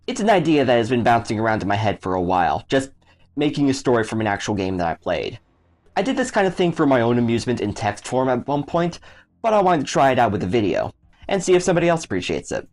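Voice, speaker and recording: A man's voice: medium pitch, sing-song, fast, faint music behind. A man reading a scripted explanation as a video creator, in an explanatory, step-by-step way. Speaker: video creator explaining his storytelling method. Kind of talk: scripted narration.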